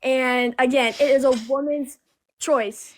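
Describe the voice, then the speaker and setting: An eighteen-year-old woman calling in with a babyish voice that sits high. She argues hesitantly, stumbling over her words and falling back on fillers. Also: fairly even intonation, takes it slowly, a little hiss or hum. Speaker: caller.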